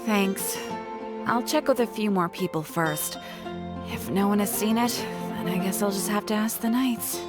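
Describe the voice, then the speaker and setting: A woman's voice: medium pitch, normal speed, lightly acted. A friendly female shopkeeper from a video game , acted by a woman, talks in a mid-range voice. She is practical and explains things conversationally. Strong music runs behind her. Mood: cooperative.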